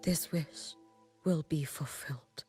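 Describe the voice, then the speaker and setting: A low, solemn shrine maiden. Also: female voice, slow pace, a little mellow. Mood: solemn, resolute. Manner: formal, restrained. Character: solemn, stoic, ageless warrior shrine maiden, female. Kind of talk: video game voice acting. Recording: game audio with music underneath.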